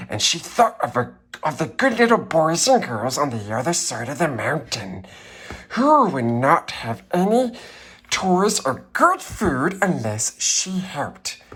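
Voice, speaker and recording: A man voicing a wretched, throaty creature who reads a children's storybook in a theatrical croak. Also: medium pitch, fairly bright tone, full character voice, low-quality recording. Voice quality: raspy, strained throaty croak. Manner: theatrical, wildly swooping delivery. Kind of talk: dramatic storybook reading.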